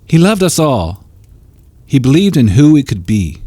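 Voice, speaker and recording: A man's voice: medium pitch, big pitch swings, a little slow, dark tone, no room sound. A man playing a devoted, once ambitious fisherman disciple in an audio drama, earnest and heartfelt.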